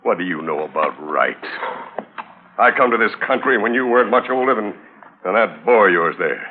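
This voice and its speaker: Guy playing hard stubborn old rancher boss, low western drawl, old radio western, noisy muffled sound. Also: lively intonation, moderate pace, close to an everyday voice. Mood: bitter, indignant. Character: aging, hard, stubborn cattle baron, male. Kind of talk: 1950s radio drama acting.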